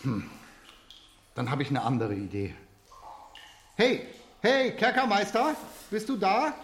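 Highish-voiced, nervous male would-be pirate, sarcastic, very animated, children's audio drama. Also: slow, a little hiss or hum, exaggerated voice. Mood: doubtful sarcasm. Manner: hesitant, sarcastic, nervously jolly. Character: anxious, sarcastic foster father posing as pirate. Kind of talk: children's radio play acting. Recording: echoey scene with faint music.

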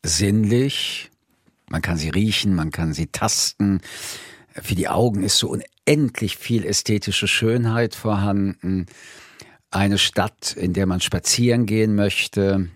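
An older man from France, whose first language is French, answers interview questions in a thoughtful, measured way with frequent hesitations. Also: on the low side, fairly even intonation, no echo, studio quality. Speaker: interview guest.

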